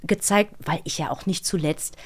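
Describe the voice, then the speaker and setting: A woman speaking in a reflective interview, telling things emphatically and pausing to search for words. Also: lowish voice, breathy edge. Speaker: interview guest, voice actress and dubbing director.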